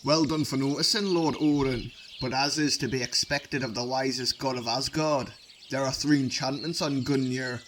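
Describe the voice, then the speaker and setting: Earnest dwarven craftsman voiced by a man, mid-pitched, formal and measured, amateur fantasy audio drama with faint outdoor noise. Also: steady tone, fairly bright tone, close to an everyday voice. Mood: proud, ceremonial. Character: earnest male dwarf smith presenting enchanted gifts.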